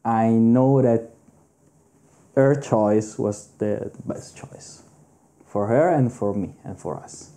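Slow, very mellow man. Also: medium pitch, vocal fry. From Italy. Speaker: documentary interviewee.

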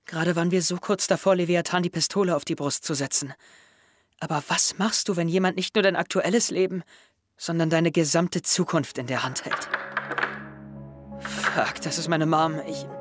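Young man as brooding teenage boy, anxious, introspective, tense, audio drama, clear music underneath. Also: a little high, moderate pace, a bit bright, close to an everyday voice. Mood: anxious, pleading. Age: young adult.

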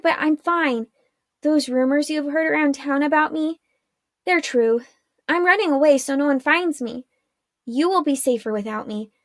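A woman's voice: high voice, fairly bright tone, no echo, studio quality, voice pushed up. A woman voicing a teenage girl in an audiobook, earnest and anxious, asking question after question.

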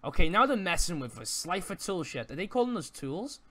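Excitable, higher-pitched young-sounding man, very animated. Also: fairly quick, no echo. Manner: excitable, stammering repetition of words. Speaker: roleplay player. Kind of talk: improvised Minecraft roleplay, casual chat.

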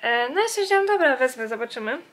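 Female vlogger, higher-pitched voice, chatty and informal, talks straight to the viewer. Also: expressive, fast, a bit bright. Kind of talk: casual vlog chat.

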